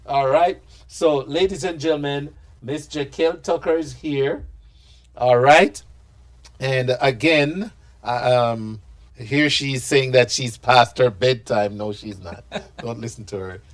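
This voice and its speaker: A man hosting a live talk show, energetic and hyping up his guest and partner stations. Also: medium pitch, takes it slowly.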